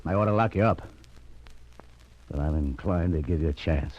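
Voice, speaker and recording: An actor playing a gruff, suspicious police lieutenant with a brusque, bossy, interrogating manner in 1940s radio style. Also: male voice, a bass voice, moderate pace, darkish tone, a little hiss or hum. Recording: dull vintage radio recording.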